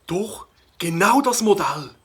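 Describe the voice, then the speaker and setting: A man playing a mild, puzzled bird-watcher in a children's audio play, with a husky voice, a fussy, deliberate manner and a Swiss German accent. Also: high voice, lively intonation, heavily acted. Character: mild, puzzled male bird-watcher, absorbed in his hobby.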